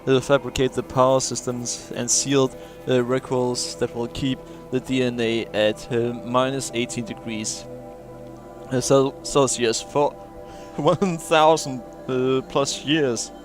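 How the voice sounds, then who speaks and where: Man reading game logs aloud haltingly. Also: medium pitch, level tone. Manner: halting read-aloud with many "uh" fillers and chuckles. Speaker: gamer playing through a game. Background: faint game music.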